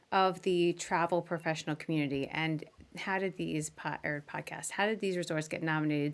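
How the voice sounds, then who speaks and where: Lower-voiced woman hosting a podcast. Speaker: podcast host. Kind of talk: casual live interview hosting.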